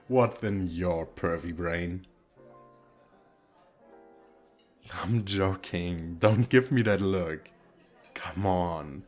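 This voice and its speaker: A man playing a shy, playful single dad on a speed date in an ASMR roleplay, talking casually with hesitant, self-deprecating, halting phrasing. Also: low-pitched, a little mellow, soft background music. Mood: playful, teasing.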